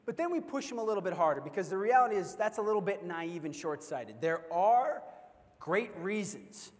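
A man in his thirties giving an energetic keynote talk on a large convention stage, speaking into a headset mic and acting out quoted reactions. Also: high-pitched, normal speed. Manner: animated, acts out quoted reactions, repeats words when excited. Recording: long hall reverb.

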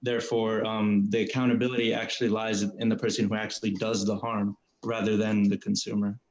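A young man debating over a video call, hesitant and polite, with many pauses. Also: on the low side, flat delivery, highs cut off. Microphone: webcam video call.

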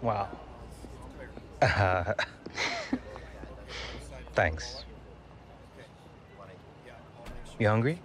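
An actor playing a reserved young footballer, speaking in an understated, conversational game-cutscene style. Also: male voice, fairly low pitch, animated, very slow, fairly bright tone, heavily acted. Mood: friendly. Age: young adult. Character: young male footballer, reserved, wounded older half-brother. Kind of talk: naturalistic video game cutscene dialogue. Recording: music under dialogue.

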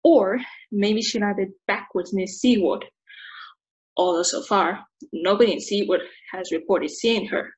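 A talkative sports commentator, read by a woman over a video call. She works through figures aloud in a middle-pitched voice. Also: a little slow, close to an everyday voice, rough recording. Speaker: actor in a table read.

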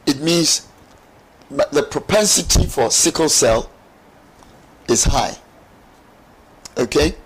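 Talk-show guest: middle-aged man, slightly high pitch, unhurried and deliberate, explaining with emphasis. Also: lively intonation, slightly rough, rough recording. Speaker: studio guest. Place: TV studio lounge set. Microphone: lapel mic.

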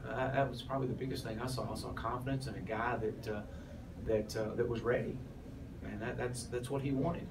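Halting coach at a noisy press table. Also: male voice, mid-range voice, steady tone. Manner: halting, many restarts and fillers. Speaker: head football coach. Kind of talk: postgame press conference. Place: press room, in front of a sponsor backdrop. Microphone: cluster of press mics on a table, heard from off. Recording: distant voice, noisy room.

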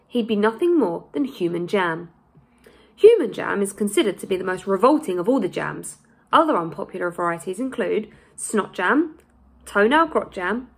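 A young woman reads a children's book aloud like a primary school teacher. Her voice sits at a medium pitch with a noticeable creak. She is expressive and deliberate, leaning on dramatic words. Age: young adult. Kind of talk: children's storybook read-aloud. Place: small indoor room, plain wall.

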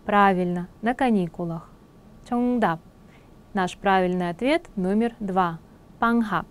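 A young woman leads a Korean exam prep lesson, explaining in Russian. She rattles off each Korean sentence, then slows right down to translate it. Her pitch sits at a middle level for a woman. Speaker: Korean language teacher.

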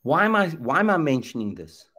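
A man's voice: on the high side, very animated, fairly quick, sharp tone. A middle-aged man from Zambia, speaking with preacher's emphasis on a video call, repeating phrases and asking rhetorical questions. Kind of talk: impassioned preaching talk. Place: indoor room, video call. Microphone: webcam video call.

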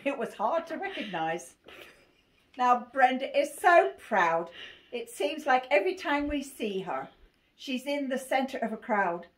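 Woman, 80, English accent. Unhurried, amused picture-book reading prone to giggles, high-pitched, recorded at home with faint noise. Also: big pitch swings. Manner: giggly, often breaking into laughter while reading. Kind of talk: amused read-aloud of a rhyming picture book. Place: small cluttered living room. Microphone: phone camera a few feet away. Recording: faint noise, clicks, home phone video.

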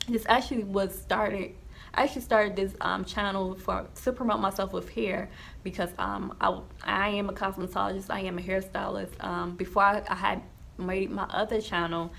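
A young woman with a slight lisp speaks casually to her camera in a small indoor room. Her voice is gravelly with vocal fry, and her talk rambles with frequent ums and restarts. A faint hiss sits under her voice. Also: medium pitch, a bit bright. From New Jersey, USA. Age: young adult. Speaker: YouTuber sharing her testimony.

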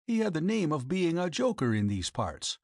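A man's voice: fairly high pitch, big pitch swings, normal speed, vocal fry, a little mellow, dry recording, studio quality, pitched above the performer's own voice, heavily acted. A man voicing a genial giant of a policeman, nervous, hesitant and respectful, in an audiobook story. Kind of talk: audiobook character voice.

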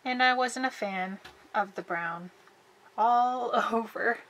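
A woman's voice: on the high side, slow, a bit bright, slight background noise, rough recording. A woman in her thirties giving a chatty, upbeat tour of her camper renovation, filmed inside a small camper trailer. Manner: chatty and upbeat, showing things off. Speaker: vlogger. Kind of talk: casual home renovation tour.

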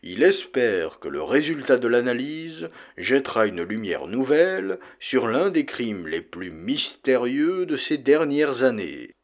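A man's voice: medium pitch, big pitch swings, measured pace, hardly any pauses. A man narrating a horror audiobook in a dramatic, scripted reading style.

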